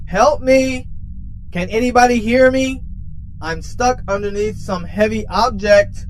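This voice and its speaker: Grumpy comic robot man acting, high voice, whiny complainer, faint background noise. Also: measured pace, low-quality recording. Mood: whiny, aggrieved. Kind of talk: comic audio drama acting.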